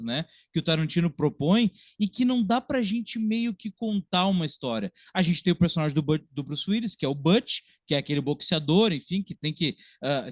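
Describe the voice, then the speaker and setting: A man's voice: a little high, no echo. A man with a Brazilian accent chatting casually about film as a podcast co-host, colloquial and emphatic.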